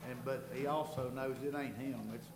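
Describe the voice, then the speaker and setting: A man giving an earnest, halting church testimony, his voice nasal and congested from illness and starting to give out. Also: medium pitch, steady tone. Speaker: congregation member giving testimony. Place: church sanctuary. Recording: echoey room with background noise.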